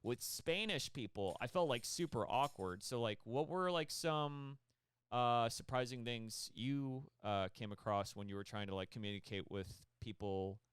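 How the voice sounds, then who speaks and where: A man's voice: medium pitch, unhurried, fairly bright tone, clear, resonant tone, no room sound. A man hosting a casual interview chat, rambling and full of fillers. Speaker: host.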